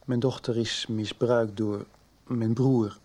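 Troubled father, medium pitch, halting, restrained, radio drama. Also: steady tone, a little mellow. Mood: pained, restrained. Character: pained, restrained father revealing a family secret. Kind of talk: 1990s Dutch radio soap acting.

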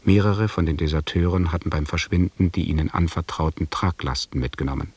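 An actor playing a correct, reserved lieutenant in a German radio drama, talking in a formal, measured way with a husky voice. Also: male voice, deep voice, a little mellow, slight background noise. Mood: reserved, sober.

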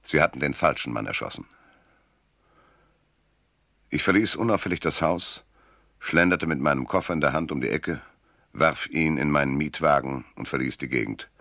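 A man's voice: very deep voice, normal speed, gravelly, long pauses, sharp tone, no echo, heavily acted. A man playing a weary, cynical private detective in a radio drama, narrating and talking in a laconic, dry, world-weary way. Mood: cool, detached. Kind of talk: first-person noir narration and dialogue in radio drama. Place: dry studio.

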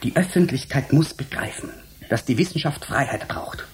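A principled, unyielding scientist in a radio play. He argues insistently in an articulate, husky voice of medium pitch. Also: lively intonation, normal speed. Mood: indignant, principled. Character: stubborn, principled male scientist defying authority. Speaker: actor in a radio drama. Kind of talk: German radio drama acting.

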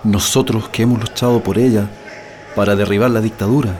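A man playing an earnest political prisoner in a radio drama, with a Chilean accent and halting speech. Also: lowish voice, fairly even intonation, a little mellow, lightly acted. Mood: weary, reflective. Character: earnest male political prisoner plotting an escape.

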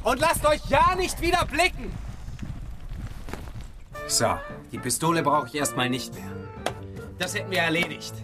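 A man's voice: high-pitched, sing-song, unhurried, long pauses, over music. An actor playing a bold, kind-hearted and slyly menacing male travelling player in a children's radio play. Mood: calm, reassuring.

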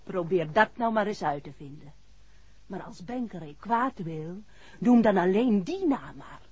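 An actress playing a stubborn, defiant mother in a 1960s Dutch radio drama, with a husky voice. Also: mid-range voice, lively intonation, a little slow, a little mellow, dry recording. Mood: insistent, curt. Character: stubborn, defiant working mother, refusing a shady errand. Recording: dull 1960s radio recording.

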